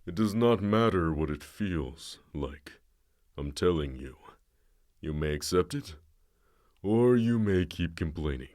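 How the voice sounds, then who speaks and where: A man's voice: low voice, animated, takes it slowly, darkish tone, slight background noise. A man playing a blunt, stern mentor in an audio drama.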